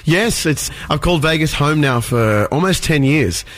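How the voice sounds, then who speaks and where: A man's voice: on the high side, expressive, normal speed, a bit bright. A middle-aged Australian man, a singer guesting on a radio interview, speaking earnestly and often repeating words as he searches for them.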